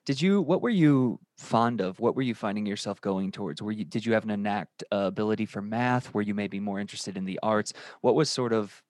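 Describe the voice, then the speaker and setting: A man's voice: on the low side, fairly quick, hardly any pauses, a little mellow, no room sound, clean studio sound. A man hosting an interview podcast, asking long rambling questions and adding encouraging remarks. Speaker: podcast host.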